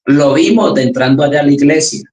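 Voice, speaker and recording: A man's voice: on the high side, fast talker, creaky, darkish tone, a little hiss or hum. A young Colombian man with a Colombian accent, earnestly telling his church testimony with frequent restarts. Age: young adult. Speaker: church chaplain giving testimony. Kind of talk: testimony interview. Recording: roomy echo.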